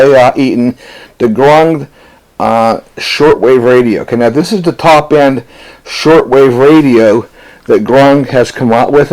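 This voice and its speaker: A man's voice: mid-range voice, a little mellow, poor audio. A man who is a ham radio operator, casually demonstrating radio gear to a camera, folksy and full of fillers and "okay?" check-ins. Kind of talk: casual product demo to camera.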